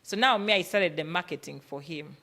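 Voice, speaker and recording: A woman's voice: fairly low pitch, brisk, bright, forceful tone. A woman with a Ugandan accent speaking as a business workshop presenter, emphatic and instructive, often posing rhetorical questions. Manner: emphatic, asking rhetorical questions and answering them. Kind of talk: motivational business talk.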